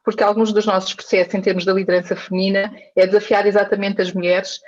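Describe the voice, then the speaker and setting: A woman's voice: mid-range voice, little pitch movement, fast. Hesitant woman on dull video call. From Angola. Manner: hesitant, repeats words while thinking. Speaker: guest, founder of a women's leadership organization. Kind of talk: casual conversation.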